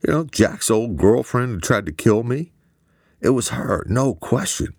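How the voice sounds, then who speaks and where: A man voicing a frantic, excitable young man who shouts and swears into the phone. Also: medium pitch, measured pace, slightly rough, dry recording, clean studio sound, pitched above the performer's own voice. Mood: agitated. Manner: loud, agitated, swearing.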